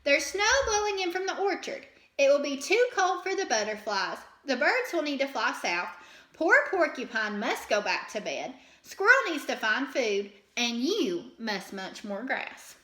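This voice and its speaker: A woman voicing an excitable, self-important young male fox in a storybook, exclaiming in breathless, urgent bursts. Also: high-pitched, unhurried, reverberant, exaggerated voice. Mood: urgent, panicky.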